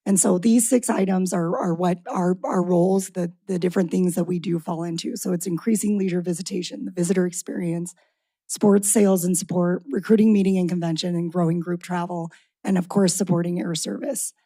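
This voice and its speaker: Raspy-voiced woman presenting to council. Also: on the low side, steady tone, mellow, no echo. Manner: brisk presenting with occasional restarts. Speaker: tourism organization executive director. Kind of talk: presenting a budget update to city council. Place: council chamber.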